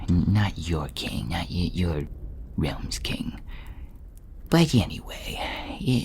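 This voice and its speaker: Whispery, rasping, low-voiced dungeon servant. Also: male voice. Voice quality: hushed, whispery, throat-burnt rasp. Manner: hesitant, rambling, dryly self-effacing. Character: meek, chatty dungeon servant and poison taster, male. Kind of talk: audio role-play character acting.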